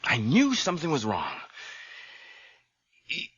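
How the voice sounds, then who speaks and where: Very animated, concerned male friend. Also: a little high, slow, husky, dry recording, heavily acted. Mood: troubled. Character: concerned, excitable male friend of a troubled man. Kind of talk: radio drama acting. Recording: noisy, dull old radio drama recording.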